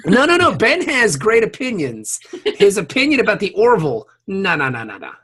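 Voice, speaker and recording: A man's voice: high-pitched, wildly swooping pitch, brisk, a bit bright, breathy edge. A man hosting a casual podcast, bantering in an effusive, teasing way with plenty of swearing. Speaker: podcast host.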